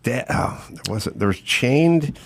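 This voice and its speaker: A man's voice: medium pitch, animated, takes it slowly. A middle-aged man hosting a podcast in a studio, telling rambling, digressive stories in casual chat on a studio mic. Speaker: podcast host. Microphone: studio mic with headphones.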